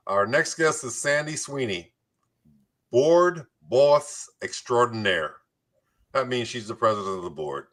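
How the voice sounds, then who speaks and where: A man's voice: mid-range voice, bright, forceful tone. A man hosting a community talk show, moving between scripted introductions and playful, jokey banter.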